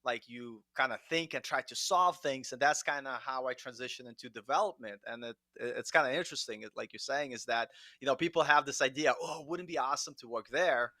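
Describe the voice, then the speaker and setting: A man's voice: mid-range voice, brisk, few pauses, pushed and bright, dry recording. A middle-aged man talking informally and enthusiastically as a guest on a casual livestream, often saying "like" and "you know". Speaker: guest developer and content creator.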